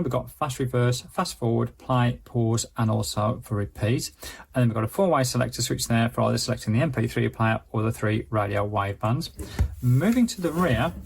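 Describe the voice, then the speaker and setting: A man with a creaky, gravelly voice gives a casual, explanatory product review. His pitch sits in an ordinary middle range, and faint background noise runs under his chatty demonstration.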